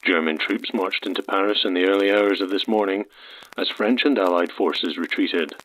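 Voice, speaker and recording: An actor playing a formal 1940s radio newsreader in an audio drama, clipped, through an old radio effect. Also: male voice, deep voice, moderate pace, fairly bright tone. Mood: grave, formal. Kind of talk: 1940s radio news bulletin. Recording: noisy old wartime radio broadcast.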